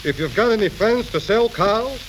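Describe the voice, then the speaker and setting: Excitable high-voiced car-dealer father. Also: moderate pace. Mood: haggling. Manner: haggling, excitable, inverted phrasing. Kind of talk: 1930s radio comedy acting. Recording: crackly, hissy 1930s radio recording.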